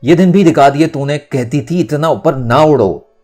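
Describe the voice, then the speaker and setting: A man as a bitter, wailing mother, pitched up. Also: normal speed, darkish tone, soft background music, lightly acted. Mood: bitter, distraught. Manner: wailing reproaches, curses. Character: distraught, bitter middle-aged mother, scolding.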